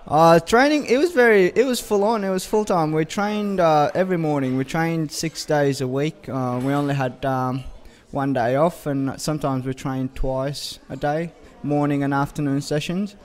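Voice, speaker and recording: Hesitant young footballer from Sudan. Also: male voice, fairly high pitch, moderate pace, hardly any pauses. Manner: hesitant, many uh fillers and restarts. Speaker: footballer interviewee. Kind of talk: casual interview answers.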